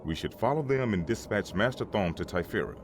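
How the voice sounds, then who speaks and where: Deep, authoritative Jedi council master played by a man. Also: animated, brisk, close to an everyday voice. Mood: assured. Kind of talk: comic dub voice acting. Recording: loud music under the voice.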